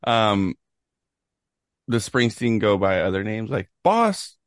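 A young man trades quick, teasing banter with a slightly low voice. The recording is close and dry, with little room sound. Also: big pitch swings, dry recording. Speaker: podcast co-host. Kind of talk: casual chat.

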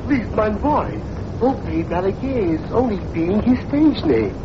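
An actor playing a self-assured foreign talent agent in a 1940s radio comedy, speaking broken English in grand, formal phrases. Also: male voice, high-pitched, very animated, a little slow. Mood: smug. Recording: dull old radio broadcast with hiss.

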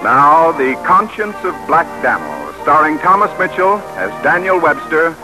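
A man's voice: on the high side, very animated, unhurried, rough recording. A man announcing and narrating in a formal, dramatic 1940s radio style. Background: music.

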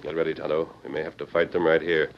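Casting: commanding, kindly western hero, extremely deep voice, muffled vintage radio recording. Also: male voice, level tone. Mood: urgent, firm. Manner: firm, reassuring, measured. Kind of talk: old-time radio acting. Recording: muffled, faintly noisy old radio recording.

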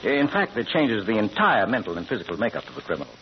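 Cultured gentleman criminal-doctor, unflappable, pompous, very animated delivery; dull vintage broadcast with faint noise. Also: on the low side, fast talker. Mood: calm, candid. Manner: precise educated diction, hesitant, politely pompous. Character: urbane scholarly doctor turned amateur burglar, curious and unflappable. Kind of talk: 1940s radio drama acting. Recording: muffled old radio broadcast, faint noise.

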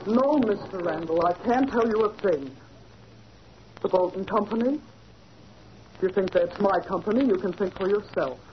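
Actress as anxious defiant lady, formal, dramatic, medium pitch, vintage radio melodrama, muffled noisy recording. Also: normal speed, pauses often, close to an everyday voice. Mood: guarded, defiant. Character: anxious, defiant married lady under threat. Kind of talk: 1940s radio melodrama acting.

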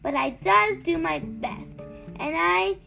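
A woman voicing a big, friendly but indignant male giant from a children's storybook, booming out mangled grammar and made-up words. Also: slow pace, creaky, sharp tone, soft background music, full character voice. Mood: muddled, boastful.

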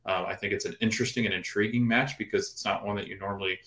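Low-voiced podcast co-host in an echoey room. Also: male voice, steady tone, fast talker, fairly bright tone. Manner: hedging, many fillers and restarts. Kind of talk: casual sports chat.

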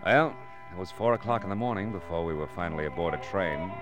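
Man playing a deep-voiced, world-weary radio detective. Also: fast talker, close to an everyday voice. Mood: brooding. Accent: American. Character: world-weary male private detective, hardboiled and wry. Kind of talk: 1940s-50s radio drama acting and first-person narration. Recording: vintage radio recording with music.

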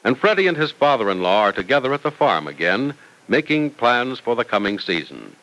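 Animated vintage radio announcer man. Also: mid-range voice, a little fast, low-quality recording. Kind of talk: 1940s-50s radio advertising and serial narration. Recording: vintage radio broadcast recording.

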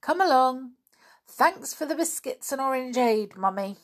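A woman reads the part of an eager young schoolgirl from a children's storybook. She is flustered and insistent, with an excitable manner and a raised pitch. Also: unhurried. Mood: chatty, earnest. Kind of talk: children's storybook read aloud.

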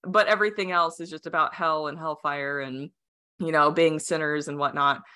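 Bright-voiced woman, chatty and rambling. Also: deep voice, fairly even intonation, normal speed, clear, resonant tone. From United States. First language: Romanian. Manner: rambling, many fillers and restarts, laughs often. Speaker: podcast guest. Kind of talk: casual interview chat.

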